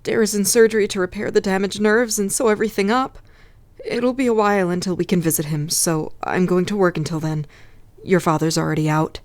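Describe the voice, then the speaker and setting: A woman playing a busy, matter-of-fact mother in a comic dub, speaking in a practical, brisk manner. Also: mid-range voice, fairly even intonation, darkish tone, no echo, close to an everyday voice.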